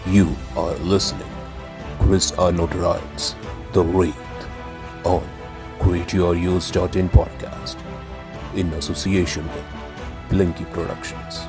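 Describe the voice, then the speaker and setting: Very deep, slow male announcer. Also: little pitch movement. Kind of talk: slow podcast intro announcement. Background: music.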